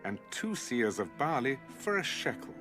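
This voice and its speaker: A man's voice: mid-range voice, big pitch swings, normal speed, lightly acted. A man narrating scripture as a dramatized audio production. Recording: music under the voice. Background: background music.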